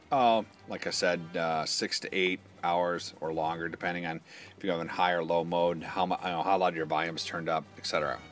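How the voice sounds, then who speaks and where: A man's voice: sits low, pushed and bright. A man demonstrating a product in an informal, matter-of-fact, step-by-step instructional style. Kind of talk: informal hands-on instructional walkthrough.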